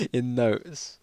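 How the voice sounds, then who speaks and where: Male, British, mid-pitched. Informal dramatic storytelling with incredulous, mocking asides, recorded very dry. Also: a little mellow, no room sound. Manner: amused, incredulous, breaking off to comment. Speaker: reader of his own old school stories.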